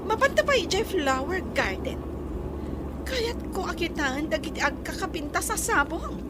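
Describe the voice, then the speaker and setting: Woman playing indecisive rich madam, very high lilting voice, Filipino accent, demanding, loud background noise. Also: sing-song, normal speed. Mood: bossy, whimsical. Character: capricious, indecisive rich lady employer, bossy. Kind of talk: comedy radio drama acting.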